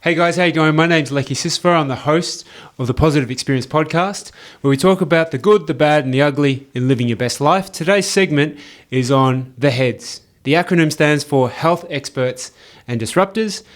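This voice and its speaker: A man hosting a podcast, chatting casually and throwing in quick questions and reactions. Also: on the high side. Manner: upbeat, quick interjections and follow-up questions. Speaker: podcast host. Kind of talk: casual interview chat.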